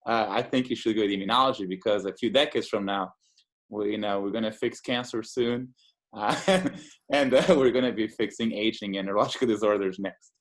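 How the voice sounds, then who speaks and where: Enthusiastic man from Portugal, low voice. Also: fairly quick. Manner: enthusiastic, many fillers and restarts. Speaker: immunologist guest. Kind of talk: casual interview talk.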